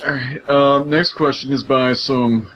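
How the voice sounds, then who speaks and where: A man's voice: medium pitch, fairly even intonation, unhurried, lo-fi sound, a little hiss or hum. A man co-hosting a casual podcast, talking in a wordy, playfully theatrical way. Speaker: podcast co-host reading chat questions.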